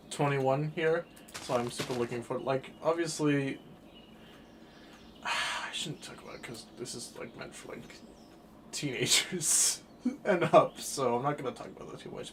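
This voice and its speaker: A twenty-year-old man streaming a game and chatting casually, rambling through his thoughts with sudden bursts of excitement. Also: medium pitch, a little hiss or hum. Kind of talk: casual chat while livestreaming a game.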